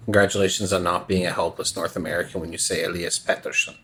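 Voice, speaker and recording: A man's voice: sits low, flat delivery, fast, a bit bright, breathy edge, poor audio. A man from Nova Scotia chatting casually about hockey as a podcast co-host. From Nova Scotia, Canada. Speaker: podcast co-host and quiz contestant. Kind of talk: casual sports chat.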